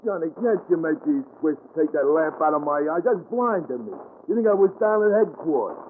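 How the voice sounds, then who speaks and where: An actor with an American accent playing a jittery small-time crook who talks in agitated underworld slang, in 1940s radio style. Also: male voice, a little high, lively intonation, a little fast, narrow, tinny audio, slight background noise, close to an everyday voice. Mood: earnest, aggrieved. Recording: thin, band-limited 1940s radio recording.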